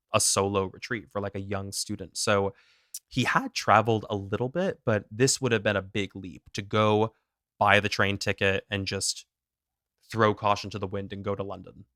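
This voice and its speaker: A man co-hosting a true crime podcast, walking through a case in a casual, animated storytelling way. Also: fairly low pitch, dry recording, studio quality. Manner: animated, self-deprecating, laughs at own pronunciation. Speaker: podcast co-host.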